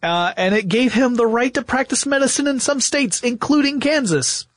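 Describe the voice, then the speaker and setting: A man's voice: extremely high pitch, animated, moderate pace, dry recording. A man co-hosting a podcast, telling historical stories in a casual chat with wry, emphatic asides. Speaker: podcast co-host. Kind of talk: casual conversational storytelling.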